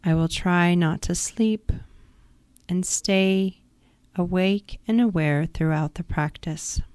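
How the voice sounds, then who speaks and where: A woman guiding a yoga nidra relaxation practice in a calm, soothing, instructive manner. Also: fairly low pitch, slow pace, clean tone, no echo. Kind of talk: guided meditation.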